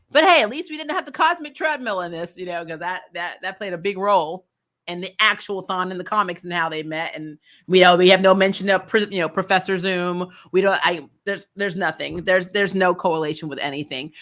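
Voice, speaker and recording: A woman's voice: lowish voice, normal speed, fairly bright tone. A woman giving a casual, opinionated TV recap as a host, blunt, sweary and exasperated, stressing points by repeating herself. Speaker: host of a TV show recap channel. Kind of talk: casual opinionated review chat.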